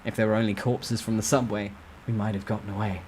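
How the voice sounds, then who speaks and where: A man with a low voice narrates a fantasy tale in the first person. He reads dramatically and with suspense, like a storyteller at work. There is some background noise on the recording. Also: little pitch movement, fast talker, a little mellow.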